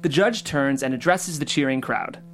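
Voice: mid-range voice, animated, normal speed. A podcast host welcoming listeners and reading scene directions in a chatty, upbeat way. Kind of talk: hosting a table read and reading stage directions.

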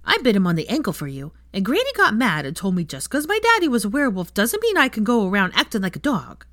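A woman voicing an eager, excitable six-year-old wizard boy in an audiobook story, gushing and emphatic. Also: mid-range voice, quick pace, dry recording, clean studio sound, voice pushed up. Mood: earnest.

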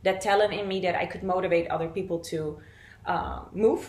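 A woman's voice: lowish voice, steady tone, quick pace, echoey room. A Brazilian-born woman in her thirties, a native Portuguese speaker, chatting casually as an interview guest, with lots of fillers and restarts. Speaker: guest, vlogger.

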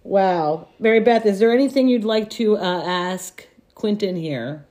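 A woman's voice: mid-range voice, a little slow, dark tone. A woman with an American accent hosting a casual chat in an enthusiastic, exclamatory way. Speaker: podcast host.

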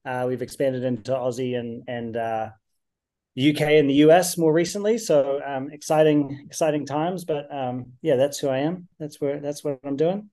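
Male podcast guest, American accent with a Kiwi tinge, mellow and relaxed, upbeat, heavy on fillers. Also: medium pitch, moderate pace. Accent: American with some New Zealand tinge. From United States. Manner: chatty, many "um" and "uh" fillers, upbeat. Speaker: startup founder guest. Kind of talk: casual podcast interview.

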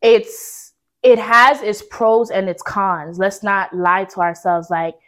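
A woman's voice: medium pitch, steady tone, slow pace, a bit bright. A young woman in a casual podcast discussion, talking in slang and arguing her point assertively. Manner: slangy, assertive, devil's advocate.

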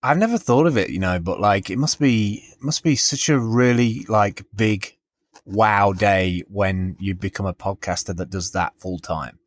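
A man's voice: on the low side, normal speed, no room sound, studio quality. A man co-hosting a podcast in casual, rambling chat, often interrupting himself as he tells a story. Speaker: podcast co-host.

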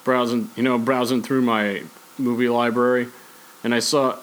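A man's voice: mid-range voice, level tone, normal speed, fairly bright tone, slight background noise, poor audio. A man hosting a podcast, talking casually in a rambling, opinionated, conversational way. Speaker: podcast host. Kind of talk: casual opinionated podcast talk on sports and movies.

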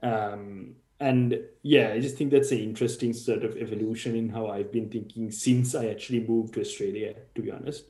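Man speaking low and mellow in an echoey room. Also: fairly even intonation, moderate pace, few pauses. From Sri Lanka. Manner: thoughtful, hedging with frequent "sort of". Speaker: podcast guest. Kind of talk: casual discussion.

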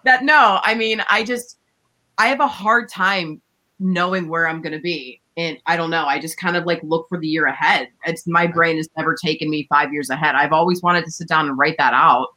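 Bright-voiced laid-back woman DJ. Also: normal speed, poor audio. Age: 30s. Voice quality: says her voice is deep. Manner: laid-back, laughs often, slangy. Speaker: DJ and podcast co-host. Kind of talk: casual chat.

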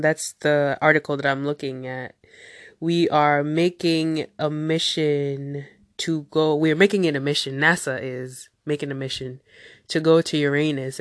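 A woman's voice: deep voice, no room sound, clean studio sound. A woman hosting a casual solo podcast, sounding nasal from a cold and talking in a chatty, rambling way with tangents. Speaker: podcast host.

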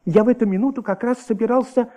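A man's voice: extremely high pitch, fast talker, dark tone. A man playing an anxious, talkative, sleepless husband, a hesitant witness who repeats himself, in a Soviet radio drama. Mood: hesitant, earnest.

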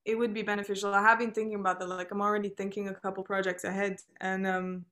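A young woman whose first language is Turkish talks casually as a musician guest in an interview. She is chatty and laughs often, with quick asides. Her voice sits at an ordinary middle pitch for a woman. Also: little pitch movement, fairly bright tone. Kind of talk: casual chat.

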